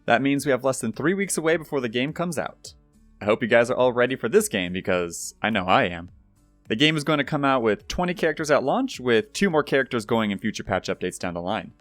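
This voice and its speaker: A man's voice: mid-range voice, dry recording. A young adult man narrating gaming news in a scripted, upbeat presenter style, addressing viewers directly. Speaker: YouTube gaming news host. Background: background music.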